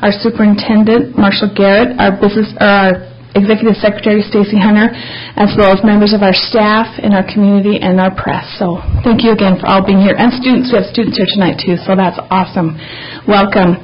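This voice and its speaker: A woman's voice: medium pitch, flat delivery, a little fast, slightly rough. A woman chairing a school board meeting, welcoming everyone in a friendly, chatty way with frequent ums. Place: district office meeting room. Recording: dull meeting-room audio.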